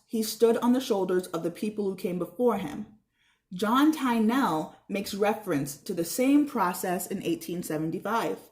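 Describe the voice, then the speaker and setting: A woman voicing a knowledgeable man who mentors children in a storybook, in an instructive, measured way. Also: mid-range voice, dark tone, close to an everyday voice. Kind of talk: children's storybook read-aloud.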